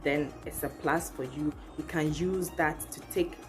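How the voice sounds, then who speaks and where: A woman's voice: deep voice, steady tone, a little slow. A young woman with a Ghanaian accent chats casually and expressively to her viewers as a channel host, recorded in a living room. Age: young adult. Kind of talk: casual chat to camera. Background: background music.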